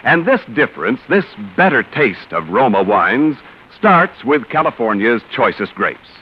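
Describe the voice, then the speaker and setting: A man delivering a deliberate, emphatic 1940s radio sales pitch as a commercial announcer. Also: big pitch swings, slight background noise. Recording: muffled old radio recording.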